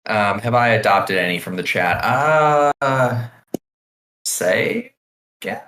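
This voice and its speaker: A man's voice: medium pitch, animated, slow, a bit bright. A man co-hosting a podcast, reading listener questions in laid-back casual chat with dry quips. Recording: echoey room.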